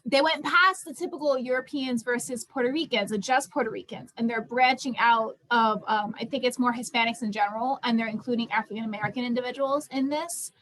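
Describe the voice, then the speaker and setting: Young woman, high voice, casual group discussion leader, emphatic, conversational. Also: a little fast, hardly any pauses, fairly bright tone, poor audio. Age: young adult.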